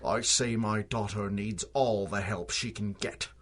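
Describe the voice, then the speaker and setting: An actor playing a distraught, blustering wealthy middle-aged father in a radio drama, talking with a husky voice and a Canadian accent. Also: on the low side, fairly even intonation, measured pace, a bit bright. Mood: worried. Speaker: father of missing girl.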